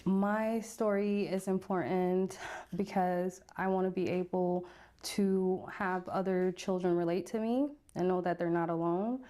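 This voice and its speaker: A woman's voice: lowish voice, steady tone, measured pace, mellow. A woman from South Sudan giving personal testimony as an interview guest, in a hesitant, reflective way. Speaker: interview guest telling her life story.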